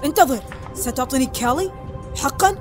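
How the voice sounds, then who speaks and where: Woman doing a fiery blunt soldier lady, high voice, talks fast, cartoon dub, loud music. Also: lively intonation. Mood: annoyed. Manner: blunt, urgent, challenging. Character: brash, fiery female special forces squad leader. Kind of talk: dubbed animation acting.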